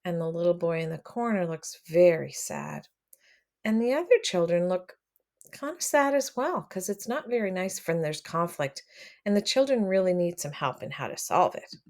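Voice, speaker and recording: Woman reading to children, very lively intonation. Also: fairly low pitch, moderate pace. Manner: expressive, addresses children directly. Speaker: read-aloud storyteller for children. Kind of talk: picture book read aloud with commentary.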